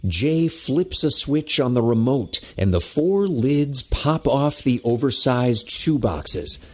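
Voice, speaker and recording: Male narrator, husky and animated, for scripted dramatic storytelling. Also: mid-range voice, slow pace.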